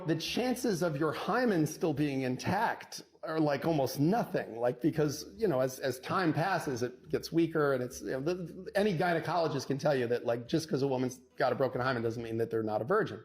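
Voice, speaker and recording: A man telling a courtroom anecdote as a divorce lawyer, in a punchy conversational way, acting out quoted dialogue with dramatic pauses. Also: fairly high pitch, darkish tone. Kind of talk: animated conversational storytelling.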